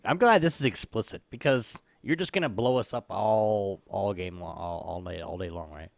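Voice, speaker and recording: A man's voice: fairly low pitch, moderate pace, gravelly, no echo. A man co-hosting a casual live gaming show with playful banter. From North America.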